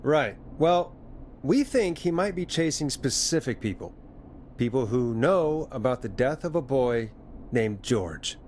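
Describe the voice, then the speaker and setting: Man acting lively, reassuring radio DJ. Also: medium pitch, a little slow, slight background noise, natural voice. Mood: gentle, probing. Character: smooth-talking, gentle male radio DJ. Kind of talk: video game voice acting.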